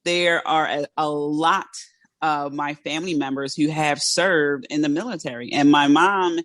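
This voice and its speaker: A woman's voice: deep voice, a little slow, fairly bright tone, no room sound. A woman host with a South Philadelphia accent, chatting casually and laughing often, her speech a little thick from dental brackets. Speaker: podcast host. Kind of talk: casual interview chat.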